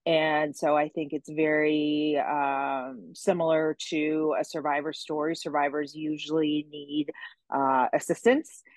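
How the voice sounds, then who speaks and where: A woman joining a discussion online, giving a reflective, tentative comment in a halting way. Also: low voice, flat delivery, dry recording. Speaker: online audience participant. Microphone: remote call over the internet.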